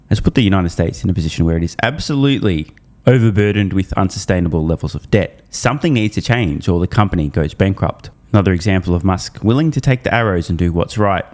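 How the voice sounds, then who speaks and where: A low-pitched male host runs through a prepared recap with punchy emphasis. He sounds opinionated and irreverent, dropping into slangy, casual asides between the scripted points. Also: darkish tone. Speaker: host of an investor news channel.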